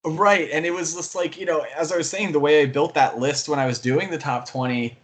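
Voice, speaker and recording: A man's voice: on the high side, fairly even intonation, brisk. A man co-hosting a podcast, talking casually about movies in an enthusiastic, rambling way. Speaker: podcast co-host and film fan.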